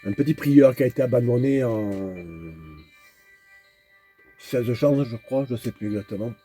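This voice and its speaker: A man's voice: mid-range voice, measured pace, dark tone, breathy edge, faint music behind. A man vlogging in a casual, rambling monologue, talking straight to the viewer and often repeating words while he searches for the next one. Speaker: nomad vlogger.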